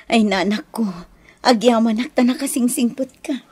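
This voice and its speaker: A loving, hardworking mother in a radio drama. Her voice is very husky and rough-edged, and her manner is tender and affectionate. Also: a little high, normal speed, a little mellow. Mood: tender, grateful.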